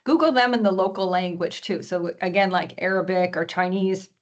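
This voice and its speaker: A hoarse woman giving a webinar presentation over a video call, often clearing her throat and using many fillers. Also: fairly low pitch, moderate pace, muffled recording. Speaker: export presenter.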